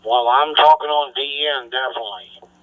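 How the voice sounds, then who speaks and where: A radio transmission carries the voice of a man at an average male pitch. He talks casually, like a hobby operator chatting with another on the air. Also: moderate pace, phone-quality sound. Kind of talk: casual two-way radio chat.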